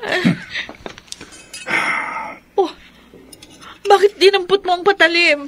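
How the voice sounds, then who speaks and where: Shrill, panicky servant woman. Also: slow pace, fairly bright tone, full character voice. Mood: panicked. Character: panicky female servant who attacked her master. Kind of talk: horror radio drama acting.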